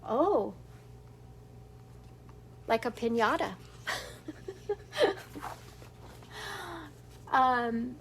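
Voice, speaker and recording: High-voiced older woman reading slowly. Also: sing-song, gravelly, a little hiss or hum, poor audio. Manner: stumbles over words, chuckles as she reads. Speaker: storybook reader. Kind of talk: expressive children's read-aloud narration.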